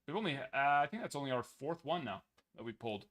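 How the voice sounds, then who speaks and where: A man's voice: mid-range voice, fast talker. Young male card-break host, easygoing, rattles off numbers, voice getting worn. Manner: casual, reads numbers in a steady list. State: losing his voice. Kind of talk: live card break chat.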